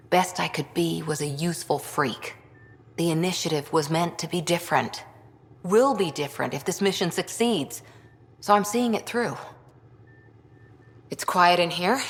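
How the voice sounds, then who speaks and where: A tough, guarded woman soldier in a sci-fi game. Her voice sits low with a creaky edge, and she speaks bluntly and dryly. It rings with long reverb. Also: fairly bright tone. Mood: determined. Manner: blunt, dry. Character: guarded, tough female soldier, proud second-in-command. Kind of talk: video game dialogue acting.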